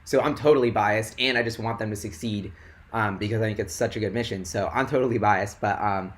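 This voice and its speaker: Excitable young man, voice going hoarse. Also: on the low side, a little fast. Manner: rambling and excitable, heavy "like" filler. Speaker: investing livestream host. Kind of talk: casual livestream chat.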